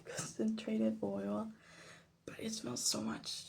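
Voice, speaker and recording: Woman, ASMR host: hushed, half-whispered and crackly with fry, thinking aloud, faint noise on a phone recording. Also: a little high, monotone, takes it slowly. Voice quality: soft, breathy half-whisper with creaky fry. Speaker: vlog host. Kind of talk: ASMR casual commentary. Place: her bedroom.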